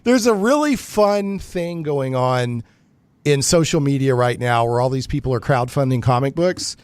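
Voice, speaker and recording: A man hosts a casual live radio show with a dry, close sound. He talks informally and haltingly, doubling back on his words. His voice sits at an ordinary male pitch. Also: lively intonation, clean tone, dry recording.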